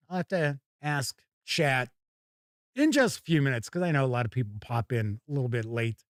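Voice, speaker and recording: A man's voice: medium pitch, no room sound, studio quality. A man hosting a casual live stream with folksy, hearty showman patter. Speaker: host of a tabletop gaming live stream.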